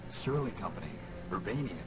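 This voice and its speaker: An actor playing a stoic, courteous robot wanderer in a video game, speaking in terse, sober questions. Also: male voice, deep voice, lively intonation, brisk. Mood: sober, questioning. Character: reserved, courteous male robot wanderer, the game's stoic hero. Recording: distant voice under loud game music.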